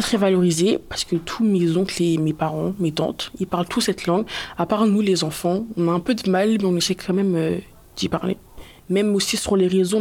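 A woman's voice: fairly low pitch, darkish tone. A woman talking casually about herself, in an informal personal testimony.

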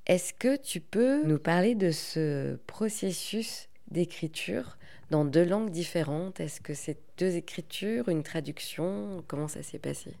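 Woman interviewer, deep low voice, calm measured questions. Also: creaky.

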